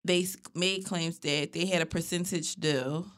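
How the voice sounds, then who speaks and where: A woman co-hosting a podcast in casual chat, speaking in a natural monotone, blunt and profane as she lays out a story at length. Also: lowish voice, measured pace. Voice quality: self-described natural monotone. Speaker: podcast co-host.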